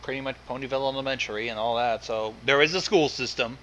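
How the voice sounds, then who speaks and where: A man's voice: medium pitch, fast talker, fairly bright tone, lo-fi sound. A man giving casual, joking reaction commentary alongside a story reading.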